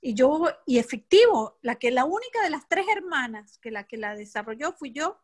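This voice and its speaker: A woman with a clearly high voice shares a personal account as a participant in a group. Her speech is casual, candid and emphatic. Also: sing-song, normal speed. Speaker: seminar participant.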